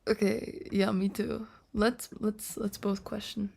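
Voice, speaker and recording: A woman live streamer chatting casually and playfully to viewers in a soft, breathy voice that often drops into a whisper. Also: medium pitch, flat delivery, slow pace. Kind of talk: sleepy casual livestream chat to viewers.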